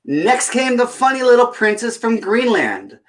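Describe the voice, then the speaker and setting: A man hosting a children's storytime, chatting to viewers and reading aloud in an expressive, theatrical way. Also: very high voice, measured pace, a little hiss or hum. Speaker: children's storytime host and reader. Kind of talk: chatty introduction and animated picture-book reading aloud.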